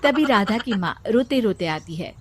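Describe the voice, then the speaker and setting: Female narrator for a scripted village story, mid-pitched voice. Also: animated, normal speed.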